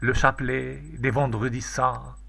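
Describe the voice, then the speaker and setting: A man gives a solemn poetry recitation in a bright, forceful voice of medium pitch. His delivery is declamatory and unhurried, each phrase set apart by a pause. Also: little pitch movement, vocal fry. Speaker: poetry reader.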